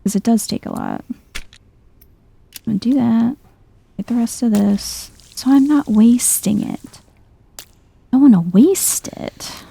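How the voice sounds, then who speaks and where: Female ASMR let's player: unhurried, chill, soft-spoken, with some creaky fry in a low-lit, relaxed tone. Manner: chill, musing to herself. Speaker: video game streamer.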